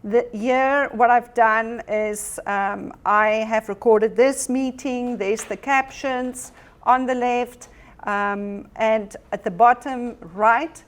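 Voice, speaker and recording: A woman's voice: a little high, steady tone, takes it slowly. Earnest woman presenter, Afrikaans first language. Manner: earnest, encouraging, with frequent ums. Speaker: educational technology presenter. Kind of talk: workshop presentation.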